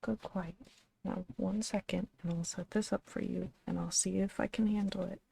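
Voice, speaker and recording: A young woman streaming a game from home, thinking aloud in a soft, rambling way and gently coaxing her pet between remarks. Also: mid-range voice, steady tone, moderate pace, gravelly, slightly rough, no echo. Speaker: streamer and channel host. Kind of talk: casual game-stream chatter.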